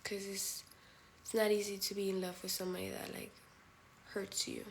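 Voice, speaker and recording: A woman's voice: mid-range voice, flat delivery, normal speed. A woman hosting a casual livestream from her phone, talking bluntly and swearing freely. She drops Spanish phrases in between her sentences, with a faint hum of background noise underneath her voice. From Spain. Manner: candid, blunt and profane. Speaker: livestream host. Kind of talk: casual live chat with viewers.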